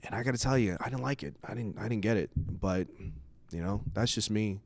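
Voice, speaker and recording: A man's voice: fairly low pitch, fairly quick, darkish tone, no echo. A man from the United States whose first language is Cantonese, speaking as a comedian in a casual, rambling, profane monologue. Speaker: stand-up comedian.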